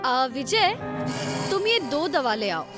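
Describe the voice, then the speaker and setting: Woman playing a high-voiced, expressive village doctor. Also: takes it slowly, over music, heavily acted. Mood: reassuring. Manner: chiding, expressive. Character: brisk, reassuring adult woman village doctor. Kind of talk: animated horror story dialogue.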